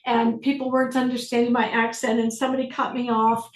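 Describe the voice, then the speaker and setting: Calm woman guiding a group, echoey room. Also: a little high. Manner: calm, slow, deliberate prompting. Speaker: facilitator. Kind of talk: guided inquiry on a group video call. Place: online group session.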